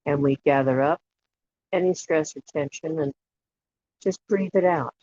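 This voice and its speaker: A guided imagery facilitator leading a relaxation meditation in a soothing, unhurried way, leaving long pauses between short phrases. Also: medium pitch, a little mellow. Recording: dull lo-fi audio with clear background noise and clicks.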